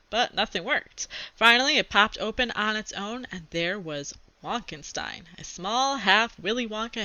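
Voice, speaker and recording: A woman reading a children's book aloud to a class like a teacher, expressive and adding informal asides. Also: on the high side, dry recording, lo-fi sound.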